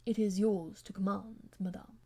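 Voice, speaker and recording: A woman's voice: mid-range voice, steady tone, moderate pace, mellow, husky. Woman doing a stiff, formal nobleman. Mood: guarded. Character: reserved aristocratic husband, guarded and formal.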